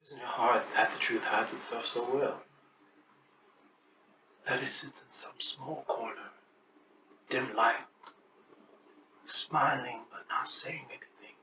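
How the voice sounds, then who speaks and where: An actor playing a brooding, searching man in a dramatic monologue, halting and often falling to a breathy near-whisper. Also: medium pitch, steady tone, bright, forceful tone. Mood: pensive, searching. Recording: distant echoey room, faint noise.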